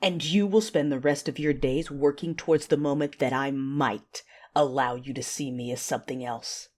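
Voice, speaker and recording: A woman playing a betrayed, commanding dark-side warrior in an audio drama, narrating in the first person with intense, deliberate menace. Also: rumbling low, steady tone. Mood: bitter, menacing.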